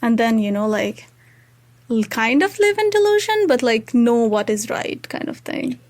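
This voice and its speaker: High-voiced young Nepali-accented woman. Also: very animated, moderate pace, darkish tone. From Tarai region of Nepal. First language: Maithili. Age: young adult. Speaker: student interview guest. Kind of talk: casual conversational interview.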